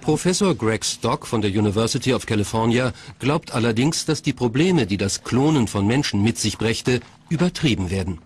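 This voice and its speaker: A man's voice: medium pitch, lively intonation, normal speed, hardly any pauses, a little hiss or hum. A man reading scripted documentary narration as the narrator. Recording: music behind the voice. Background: background music.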